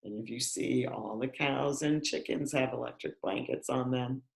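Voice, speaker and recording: An older woman reading a story aloud to children with deliberate, expressive delivery. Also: extremely low pitch, creaky, background noise. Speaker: school educator reading to pupils. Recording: echoey room.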